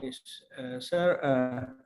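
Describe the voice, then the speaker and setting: A man's voice: medium pitch, a little mellow. A man moderating a webinar, relaying a question in a hesitant way, with lots of fillers. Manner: hesitant, halting with many fillers. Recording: distant voice with faint noise.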